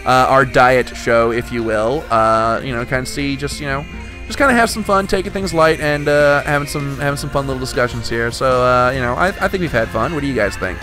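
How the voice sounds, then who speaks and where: A man's voice: medium pitch, a little fast, over music. A young man hosting a casual podcast, talking in rambling, restart-heavy stretches with some swearing. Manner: rambling, full of restarts and profanity. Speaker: podcast host.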